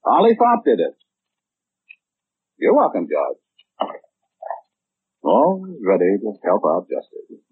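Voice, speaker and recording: A man's voice: lowish voice, wildly swooping pitch, takes it slowly, long pauses, breathy edge, no echo, full character voice. An actor playing a blandly self-assured know-it-all man in a 1940s radio drama, speaking matter-of-factly with fussy, precise wording on a muffled old broadcast. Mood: chatty, warning.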